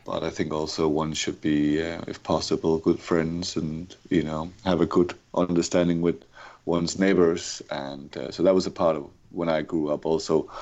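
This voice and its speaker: A man from Denmark with a Danish accent, a guest on a podcast, talking in a relaxed, thoughtful way with wry asides. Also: deep voice. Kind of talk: casual conversation. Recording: muffled lo-fi remote audio.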